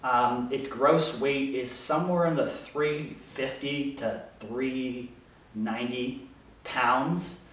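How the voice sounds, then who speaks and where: A man's voice: medium pitch, takes it slowly, fairly bright tone. An American man in his thirties talking casually to camera, explaining things as a woodworker answering questions in his small workshop. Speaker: woodworker hosting a shop Q&A video. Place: small home workshop, indoors. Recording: very echoey room, distant microphone.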